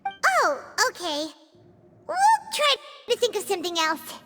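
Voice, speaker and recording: A woman voicing a small, childlike fairy companion in a video game, squeaky, naive, excitable and blunt. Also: a little slow, very noisy, exaggerated voice. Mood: puzzled, frustrated. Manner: refers to self in third person, exclaiming. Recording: music playing behind voice.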